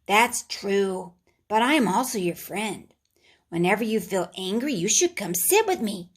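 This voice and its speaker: A woman voicing a friendly, hairy red creature that is a child's anger, speaking softly and coaxingly in a storybook read-aloud. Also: medium pitch, animated, measured pace, slightly rough.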